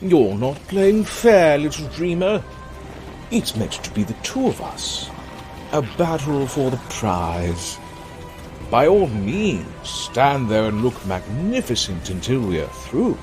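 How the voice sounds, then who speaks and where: An ancient demonic villain for an audio drama. He drawls at a slow, savoring pace with widely swinging pitch, silky, condescending and possessive. Music plays clearly behind him. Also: big pitch swings. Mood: mocking. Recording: music bed under the voice.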